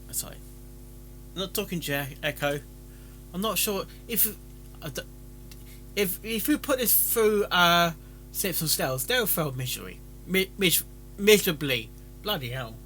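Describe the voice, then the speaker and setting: Bright, halting man, rambling casually. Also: a little high, low-quality recording. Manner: halting, stammering, repeats words. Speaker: host of a fan fiction reading stream.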